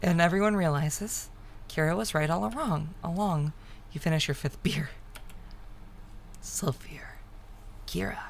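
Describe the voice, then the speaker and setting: A woman reading a visual novel aloud as dramatic narration, with hushed, breathy phrase endings. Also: on the low side, unhurried, pauses often, a little hiss or hum. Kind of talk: dramatic second-person story narration.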